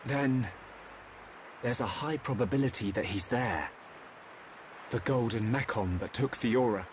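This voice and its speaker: A man's voice: medium pitch, a little slow, slight background noise, close to an everyday voice. An actor playing a thoughtful, determined young man in a video game cutscene.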